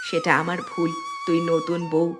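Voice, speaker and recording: A woman's voice: fairly low pitch, huge pitch swings, normal speed, music in the background. A woman playing a protective elder sister-in-law in a cartoon melodrama, firm and reassuring. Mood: gentle, regretful.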